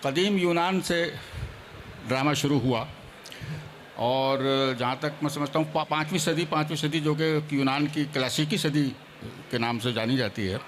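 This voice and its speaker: Panelist, male. Slightly high pitch, formal lecturing delivery with English terms, faint background noise. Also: unhurried. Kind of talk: formal panel discussion talk.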